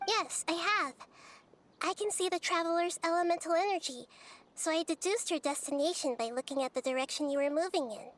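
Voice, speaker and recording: A small, gentle goddess in a video game. The voice is high and young, yet speaks with measured, thoughtful wisdom. There is slight noise in the background. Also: female voice. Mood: composed.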